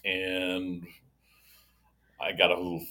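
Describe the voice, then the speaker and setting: A man's voice: deep voice, monotone, slow pace, pushed and bright. A man co-hosting a casual morning chat, rambling with many restarts. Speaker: co-host. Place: office of an insurance enrollment center.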